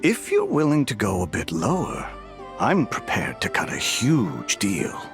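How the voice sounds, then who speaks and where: A man's voice: lowish voice, unhurried, creaky, over music, full character voice. An actor playing a sly, persuasive male buyer haggling for a deal in a video game. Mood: wheedling, sly. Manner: persuasive, expressive.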